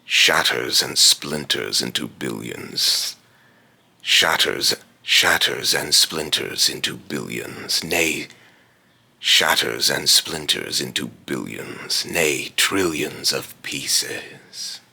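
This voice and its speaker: Gravelly, abyssal-deep immortal being. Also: male voice, bright, forceful tone, exaggerated voice. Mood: grave, foreboding. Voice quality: gravelly, breathy rasp. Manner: solemn, deliberate, archaic grandiose phrasing. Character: ominous immortal being tempting mortals, grave and condescending. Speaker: voice actor. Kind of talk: theatrical villain monologue, repeated takes.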